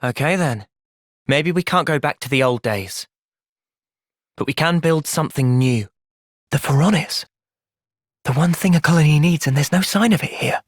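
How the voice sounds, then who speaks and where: A man voicing an earnest, thoughtful young soldier in a video game, with an English accent and a measured, sincere delivery. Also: on the high side, lively intonation, no room sound, clean studio sound. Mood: calm, earnest. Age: young adult. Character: earnest, thoughtful young male soldier, gentle but resolute. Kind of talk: video game cutscene acting.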